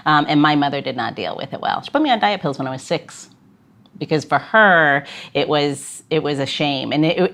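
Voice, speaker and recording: A woman hosting a podcast interview, chatty and giggly with lots of fillers. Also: low-pitched. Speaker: podcast host. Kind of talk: casual chat interview.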